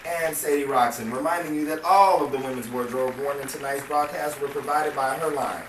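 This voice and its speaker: A man's voice: medium pitch, a little fast. A man announcing a radio drama's credits in a scripted, old-time broadcast style. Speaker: announcer reading cast credits. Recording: echoey distant room, clear background noise.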